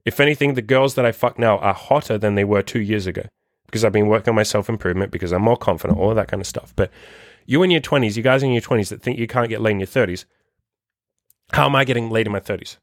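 A man's voice: on the low side, quick pace, no echo, clean studio sound. A man in his thirties hosting a dating podcast, talking bluntly and emphatically with lots of swearing in a solo motivational monologue. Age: 30s. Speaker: dating coach podcast host.

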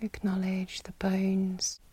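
A woman leads a guided meditation at a very unhurried pace. Her voice is mellow and soft, with a breathy, soothing delivery and long pauses. The sound is close and dry. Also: mid-range voice, monotone, dry recording. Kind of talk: guided body scan meditation.

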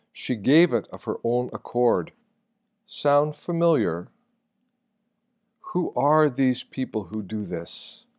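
A man reading a reflective sermon from a text in a solemn, deliberate manner, posing rhetorical questions. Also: medium pitch, darkish tone. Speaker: reader of a Lenten meditation.

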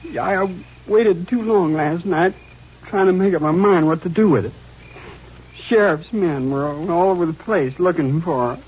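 Guy playing a shaky young killer, real high husky voice, muffled old radio sound. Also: normal speed, a little hiss or hum, natural voice. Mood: confessing. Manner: nervous, laughing, pleading excuses. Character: young male killer, cornered and unstable. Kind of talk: 1950s radio drama acting. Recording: dull lo-fi old radio recording.

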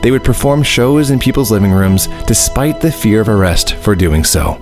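A man's voice: on the low side, expressive, moderate pace. A man narrating a radio drama from a script in a storytelling style. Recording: music behind the narration.

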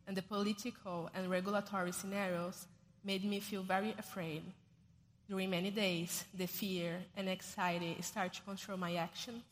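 A woman from Brazil with a Brazilian Portuguese accent, reading her testimony and a scripture passage at church in a careful, measured way. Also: mid-range voice, a bit bright. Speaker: church member giving a testimony. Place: church sanctuary. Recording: reverberant church hall.

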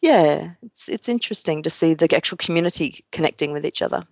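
A woman's voice: low voice, expressive, fairly quick, darkish tone, dry recording. An Australian woman talking as a podcast guest in casual, meandering chat, full of fillers and restarts. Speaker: guest, Irish language learner.

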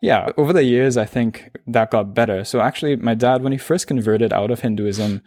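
A man's voice: medium pitch, fairly quick, darkish tone, dry recording, clean studio sound. A man from Trinidad with a Trinidadian accent, telling his life story as a podcast guest in an easygoing, reflective way. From Trinidad and Tobago. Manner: reflective storytelling, frequent "you know". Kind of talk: conversational podcast interview.

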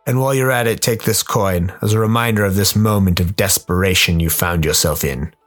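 A man playing a sly, towering demonic gardener in a radio play, courteously menacing, teasing and unhurried. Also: on the low side, lightly acted. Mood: gloating. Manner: unhurried, teasing, mock-polite. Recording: music behind the voice.

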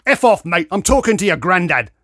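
A man performs a belligerent middle-aged bully for an audio story. The voice rasps and growls, raised in pitch with wide, lively swings, and is recorded dry. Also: a little fast, no echo, heavily acted. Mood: menacing. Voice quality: rasping, growling. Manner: belligerent, snarling. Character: aggressive rasping middle-aged male lout, snarling bully.

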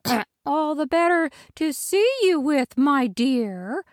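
A woman voicing a sly male wolf who fakes a sugary, high granny voice. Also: animated, slow, no room sound, studio quality. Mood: falsely sweet. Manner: sugary, falsely sweet, high and quavering.

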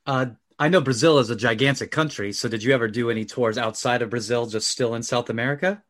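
A man's voice: medium pitch, quick pace, fairly bright tone. A man hosting an interview, asking easygoing, curious questions. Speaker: interviewer, host.

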